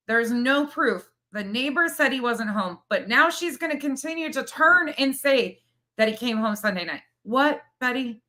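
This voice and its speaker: Sharp, sarcastic woman reacting. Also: high-pitched. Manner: sarcastic, mocking, repeats points. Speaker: host reviewing another commentator's video. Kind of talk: casual reaction commentary.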